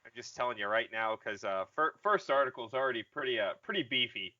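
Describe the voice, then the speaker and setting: A man co-hosting a casual comedic podcast, joking, swearing and halting as he goes. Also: medium pitch, expressive, sharp tone, no room sound, highs cut off. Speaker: podcast host.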